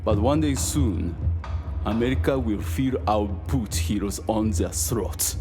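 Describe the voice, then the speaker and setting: An actor playing a menacing Japanese military officer in an audio drama, taunting with formal contempt. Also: male voice, lowish voice, takes it slowly. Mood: veiled menace. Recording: loud music under the voice.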